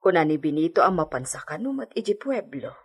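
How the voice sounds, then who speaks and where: An actress playing a gossipy, motherly household servant in a radio drama, fussing in a husky voice. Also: low voice, sing-song, fairly quick, heavily acted. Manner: nagging, confiding, fussing.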